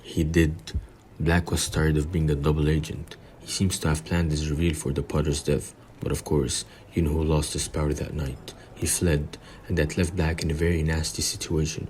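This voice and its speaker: Man playing a very deep, solemn government minister. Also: flat delivery, a little mellow, a little hiss or hum. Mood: grave. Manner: measured, solemn, formal. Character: grave, pompous government minister, older man. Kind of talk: audio play acting.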